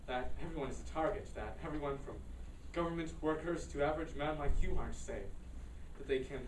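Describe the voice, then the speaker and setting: A man in a staged play reading, playing an anxious, secretive kidnapped military scientist with halting, stammering delivery. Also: fairly high pitch, close to an everyday voice. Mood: tense, explaining. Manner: halting, stammering, dramatic outbursts. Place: indoor room at a staged reading, recorded from a distance. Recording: echoey room, distant mic, noisy.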